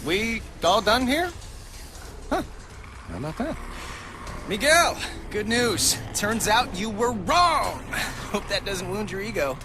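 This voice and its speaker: A man's voice: high voice, sing-song, takes it slowly, long pauses, background noise, heavily acted. A man playing a quippy, sarcastic young superhero in a video game, chatting in an upbeat, wisecracking way. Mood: wry relief. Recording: music behind the voice.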